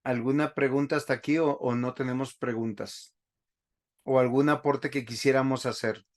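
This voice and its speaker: A man, a pastor teaching a Bible class over a video call, speaking slowly and stopping often to ask the class questions. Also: mid-range voice, steady tone. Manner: slow, halting, asks the class questions often.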